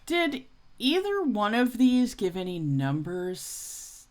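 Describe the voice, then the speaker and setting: Woman in her forties, very lively delivery. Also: medium pitch, slow pace. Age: 40s. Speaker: reaction video host. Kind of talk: casual off-the-cuff reaction commentary.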